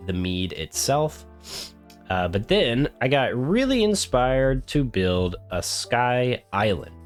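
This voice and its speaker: A man hosting his own gaming video, casually narrating while he builds, his voice congested from a cold. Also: on the low side. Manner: easygoing, rambling, talks while building. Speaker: gaming channel host. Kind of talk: casual gameplay commentary.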